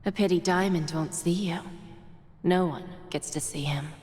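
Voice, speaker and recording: An actress voicing a commanding, quietly menacing female envoy in a game cutscene, speaking in a soft, condescending half-whisper. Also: fairly low pitch, moderate pace, in a large hall, faint music behind, close to an everyday voice. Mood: cold.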